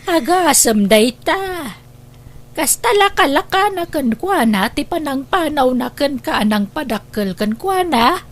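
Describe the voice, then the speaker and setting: Slow, pleading Ilocano mother. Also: high-pitched, lively intonation. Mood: upset. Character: gentle pleading mother, devoted and sorrowful. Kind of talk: Ilocano radio drama acting.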